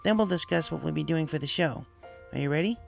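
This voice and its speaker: Man as a creaky, confident pegasus captain mare. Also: fairly high pitch, quick pace, pitched above the performer's own voice. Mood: breezy, businesslike. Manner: casual, direct, reassuring. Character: confident, understanding female pegasus flight-team captain. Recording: music behind the voice.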